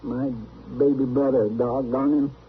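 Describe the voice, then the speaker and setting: A man's voice: medium pitch, little pitch movement, measured pace, natural voice. A man playing an anxious, folksy marshal's sidekick with a Southern American drawl in an old radio western. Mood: fretful. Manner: folksy drawl, fretful, exasperated. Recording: noisy, tinny old radio recording.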